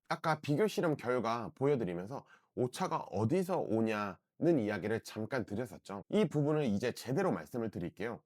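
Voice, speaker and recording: A middle-aged man, hoarse from a throat cold, talks through a home product review. His pitch swings up and down a lot as he pushes his point. The sound is close and dry, with no room echo. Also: a little mellow. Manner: earnest, repeats "진짜" often, persuasive. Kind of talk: casual review vlog.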